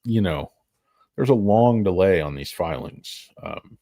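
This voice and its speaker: A man talks through stock charts in a loose, rambling way, crude and sarcastic. His voice sits low and mellow but jumps around with lively swings in pitch. It sounds dry and close, with no room around it. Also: a little slow, no echo. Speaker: stock market video host. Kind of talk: casual stock chart commentary.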